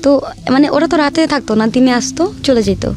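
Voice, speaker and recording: High-voiced young Bangladeshi woman telling her story. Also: a little fast. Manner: chatty, colloquial, quotes dialogue often. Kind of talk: casual personal storytelling with re-enacted dialogue. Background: background music.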